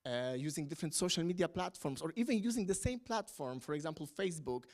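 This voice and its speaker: Man, halting panel talk. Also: fairly high pitch, expressive, darkish tone. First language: Polish. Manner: hesitant, many fillers and restarts. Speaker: academic panelist.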